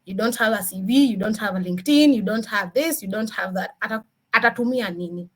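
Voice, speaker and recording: A woman with a Kenyan accent giving an informal motivational career talk as a senior engineer, mixing in Swahili with animated, emphatic rhetorical questions. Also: mid-range voice, brisk. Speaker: senior DevOps engineer, guest speaker.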